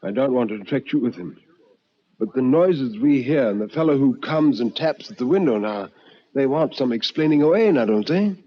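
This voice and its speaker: A man playing an anxious, easily rattled housemate in a ghost-story drama, talking in a halting, protesting way. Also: mid-range voice, expressive, muffled recording, lightly acted. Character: Rudge, a nervy pale housemate, easily rattled.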